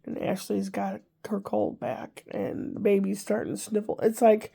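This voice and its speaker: Older woman vlogger, congested, gravelly, rambling casual chat. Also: mid-range voice, little pitch movement, normal speed, dark tone. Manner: rambling, chatty, wry asides.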